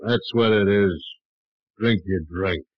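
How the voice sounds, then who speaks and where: Casting: dismissive drinking man, curt and low, vintage radio acting, dry dull recording. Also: takes it slowly, no room sound. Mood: irritated disbelief. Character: gruff, dismissive male drinking companion.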